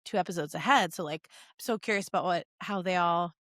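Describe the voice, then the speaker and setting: A woman's voice: on the low side, fairly quick, a bit bright, no echo, studio quality. A woman chatting casually as a podcast co-host, with a playful, joking manner. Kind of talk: casual comedy chat, riffing.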